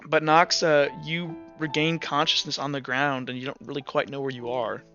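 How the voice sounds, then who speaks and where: A man narrating as game master, halting often with fillers and restarts. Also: a little high, flat delivery, bright, forceful tone. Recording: dull lo-fi sound with faint music.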